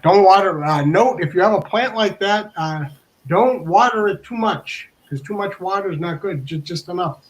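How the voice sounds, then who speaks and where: Male storyteller, higher-pitched, informal and hesitant, circling back over his words. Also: slight background noise, rough recording. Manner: hesitant, halting, repeats words.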